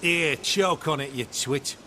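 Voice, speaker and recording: Rude scornful witch hunter boss, kinda higher voice, video game, bit of rumble behind. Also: slow pace. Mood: scornful, curt. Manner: brusque, scornful. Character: surly, foul-mouthed male witch-hunter commander, contemptuous and hard. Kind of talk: fantasy game dialogue. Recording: game audio with rumbling ambient noise.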